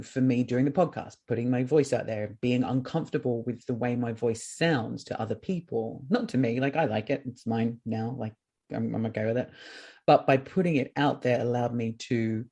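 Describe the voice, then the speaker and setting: A man's voice: medium pitch, a little mellow, breathy edge. A man hosting a podcast in casual chat. He has a light voice that is not very masculine and talks openly and reflectively, rambling with fillers. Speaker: podcast host.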